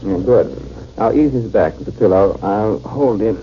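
A man in a 1950s radio drama, playing a calm, commanding military surgeon who gives clipped instructions. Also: on the low side, unhurried. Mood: calm, attentive. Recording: muffled, noisy 1950s radio recording.

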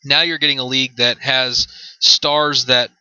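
A man's voice: medium pitch, flat delivery, unhurried, pushed and bright, smooth, no echo, dull audio. A man in his mid twenties with an American accent, hosting an unscripted solo sports podcast in a rambling way, often repeating his phrases. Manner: rambling, repeats phrases, leans on "you know". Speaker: podcast host.

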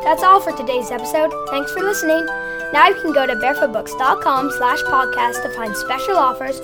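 High-pitched female podcast host over music. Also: fairly quick. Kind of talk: scripted podcast intro and outro. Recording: music bed behind the voice.

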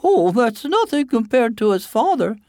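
A man voicing a proud, self-assured village mother in a folktale, in a lilting falsetto. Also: very animated, normal speed, darkish tone, no room sound, studio quality, heavily acted. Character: proud, helpful mother of a strong boy, giant's wife.

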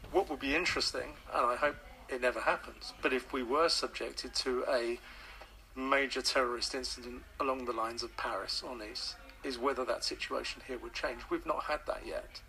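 A man's voice: mid-range voice, fairly even intonation, normal speed, gravelly, sharp tone, slight background noise, rough recording. Hesitant, thoughtful male author. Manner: thoughtful, hesitant, repeats words as he thinks. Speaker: author and radio guest. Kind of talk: radio interview.

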